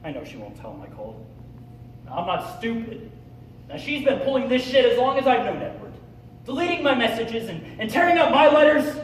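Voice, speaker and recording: A teenage man playing an unhinged, needy grown man resentful of his domineering mother, in a halting, theatrical stage monologue. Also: mid-range voice, very animated, natural voice. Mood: bitter. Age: teens, high school senior. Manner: theatrical, halting, swings from hushed to shouting. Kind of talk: dramatic monologue, stage speech-contest performance. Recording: distant, echoey, noisy hall recording.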